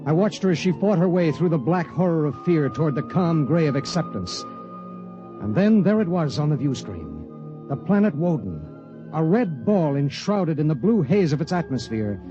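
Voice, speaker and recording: An actor playing a grim, weary spaceship pilot in a 1950s radio drama, speaking and narrating in a terse, hard-boiled, measured way. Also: male voice, on the high side. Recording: muffled 1950s radio recording, music behind.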